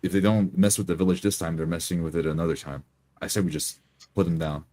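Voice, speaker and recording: Very deep-voiced man roleplaying firmly. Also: steady tone, quick pace, mellow. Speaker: tabletop roleplay player. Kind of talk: in-character roleplay mixed with describing actions.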